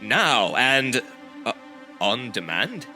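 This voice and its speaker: An actor playing a polished, dramatic announcer in 1950s radio style. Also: male voice, medium pitch, very animated, extremely slow, loud music behind the voice. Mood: grand, promotional.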